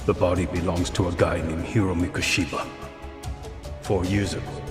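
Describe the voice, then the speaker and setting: A man playing a bitter, grieving father making a deliberate, declamatory speech to a court in a video game cutscene. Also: deep voice, natural voice. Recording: echoey hall with long reverb, music.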